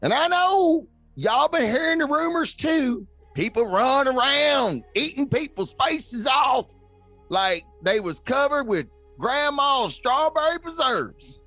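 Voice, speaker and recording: A man's voice: very high voice, expressive, slow pace, pushed and bright, dry recording, soft background music, full character voice. A man playing a folksy, ranting backwoods preacher with a Southern American accent, giving a fiery parody sermon. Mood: fervent, rallying.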